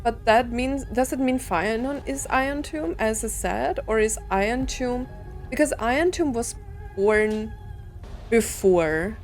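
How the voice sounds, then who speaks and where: A woman's voice: fairly high pitch, a little slow, soft background music. A woman game streamer giving casual, musing commentary in short reactions and reading subtitles aloud.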